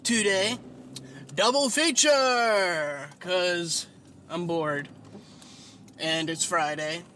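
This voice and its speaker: A man's voice: high voice, very slow, pauses often, bright, forceful tone, slight background noise. A man hosting a casual solo podcast, rambling and self-deprecating, with a whiny, nasal voice and free swearing. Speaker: podcast host.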